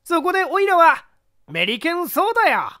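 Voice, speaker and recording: A narrator voicing a brash, loyal male henchman with a cocky, rough, slangy swagger. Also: very high-pitched, very animated, normal speed, pushed and bright, no room sound, studio quality, pitched above the performer's own voice, heavily acted. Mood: proud, brash.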